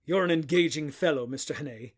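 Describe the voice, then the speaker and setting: Give the professor a fairly high male voice acted by a man, courteous and controlled. He is a secret foreign agent in an audio play. His politeness carries understated menace. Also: fast, natural voice. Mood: coolly menacing. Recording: home-recorded audio play, faint insect-like hiss.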